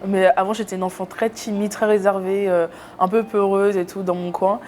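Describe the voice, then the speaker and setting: A woman's voice: mid-range voice, level tone, fairly quick, a little mellow, a little hiss or hum. A young woman from Cameroon, a YouTuber guest in a casual interview, talking with many hesitations.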